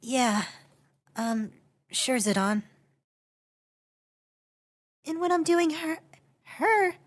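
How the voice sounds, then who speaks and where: A man voicing a tentative young female fantasy game character through a pitch-shifting voice changer. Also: lively intonation, very slow, full character voice.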